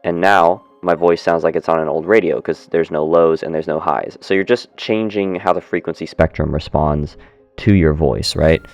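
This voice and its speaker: A man's voice: low-pitched, normal speed, faint music behind. A man casually walking through his microphone settings in a screen-recorded tutorial, often correcting himself as he goes. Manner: relaxed, self-correcting explainer. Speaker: streamer demonstrating audio software. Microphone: studio dynamic mic through audio interface.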